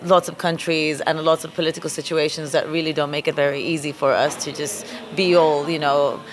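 A woman's voice: low-pitched, little pitch movement, a little fast, slight background noise. A woman who directs a design week, answering interview questions at length in an earnest, thoughtful way.

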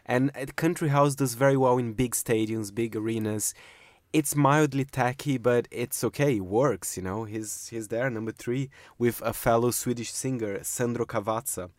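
A man presenting a music chart countdown on a radio panel, chatty and quick to laugh, with lots of fillers. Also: mid-range voice, dry recording, clean studio sound. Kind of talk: casual radio panel chat. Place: radio studio.

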